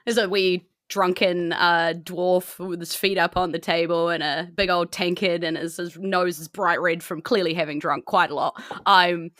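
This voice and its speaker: A woman's voice: lowish voice, fairly even intonation, moderate pace, few pauses, fairly bright tone, dry recording. A woman with a New Zealand accent improvising narration as a tabletop game master, informal and conversational.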